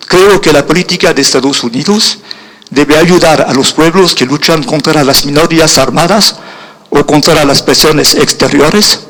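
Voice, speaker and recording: European man lecturing in halting Spanish. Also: fairly high pitch, fairly bright tone, rough recording. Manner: halting, searches for words, checks in with "¿sí?". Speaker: guest lecturer. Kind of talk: keynote lecture.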